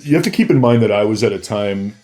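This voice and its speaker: A man speaking as an interview guest, telling anecdotes casually, halting and repeating himself for emphasis. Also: lowish voice, expressive, warm tone. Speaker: interview guest, former bodyguard.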